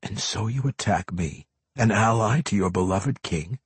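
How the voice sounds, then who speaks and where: A man voicing a stern, noble elf warrior in a fantasy story, speaking in a formal, measured and challenging way. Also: lowish voice, expressive, breathy edge, no echo, exaggerated voice.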